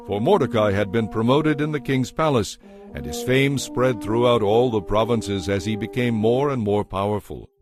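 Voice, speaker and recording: A man narrating a dramatized audio Bible in a measured, formal storytelling manner. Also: mid-range voice.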